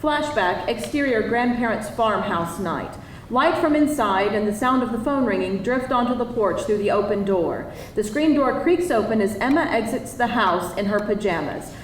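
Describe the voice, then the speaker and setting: A woman with a slightly high voice narrates scene directions from a script. She sounds a bit far from the mic in a roomy space. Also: lively intonation, normal speed. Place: live event room. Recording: roomy, distant, faintly noisy live reading.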